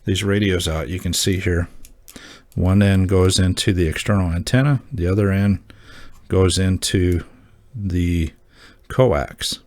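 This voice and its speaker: A man hosting a hobby gear video, talking casually and off the cuff in a halting, folksy, informal way. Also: sits low, darkish tone. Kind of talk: casual show-and-tell talk.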